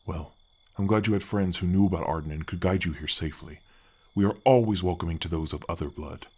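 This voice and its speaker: A man playing a big, kindly gargoyle guardian in a soft fantasy ASMR roleplay, earnest and a little bashful, with his voice deepened by an effect. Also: fast talker, husky. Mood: reassuring, proud. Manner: earnest, courteous, slightly bashful, hesitant phrasing. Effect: deep-voice pitch effect.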